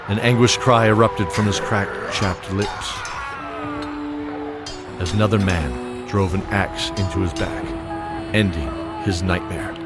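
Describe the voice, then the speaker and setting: A man narrating a fantasy audio drama in a measured, dramatic storytelling manner. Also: fairly low pitch. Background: dramatic music.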